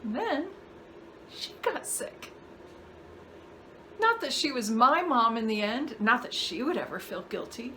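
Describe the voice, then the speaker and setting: Casting: actress as bitter, guilt-laden mother in a stage-style monologue, bright higher voice, halting delivery, noisy home recording. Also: expressive. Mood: resentful, guilty. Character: bitter, guilt-ridden mother burdened by a troubled family. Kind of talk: dramatic acting monologue.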